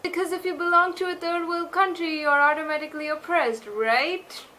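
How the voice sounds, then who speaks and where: A woman's voice: very high-pitched, steady tone, normal speed, pushed and bright, slight background noise. A woman giving an impassioned personal statement, emphatic and full of rhetorical questions.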